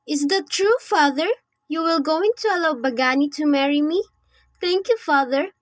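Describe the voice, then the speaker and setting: A woman's voice: high-pitched, normal speed, no room sound, close to an everyday voice. A woman playing a lovestruck young princess who speaks eagerly to her father.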